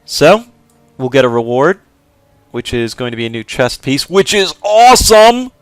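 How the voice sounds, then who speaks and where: A young man commenting on his game in a joking, offhand way, often getting excited. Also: medium pitch, normal speed, no room sound. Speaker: let's play host. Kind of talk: casual gameplay commentary.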